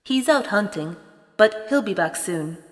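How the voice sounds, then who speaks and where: Brief: clever giantess, man doing a high put-on voice, teasing and lively, reverberant. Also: unhurried, over loud music. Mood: sweetly false. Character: clever calm giant's wife.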